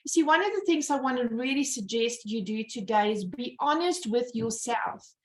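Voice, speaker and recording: A woman's voice: on the high side, lively intonation, moderate pace. A South African woman in her fifties, telling her personal story in a presentation over a video call. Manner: earnest storytelling with rhetorical questions. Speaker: business owner giving a presentation. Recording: roomy echo.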